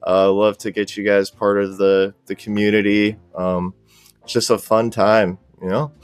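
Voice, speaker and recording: A man with a low, clean voice and flat intonation talks casually as a guest. He is laid-back and agreeable, recorded dry and close with faint music behind him. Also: normal speed, clear, resonant tone, no room sound. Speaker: podcast guest, cosplay content creator. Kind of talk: casual chat.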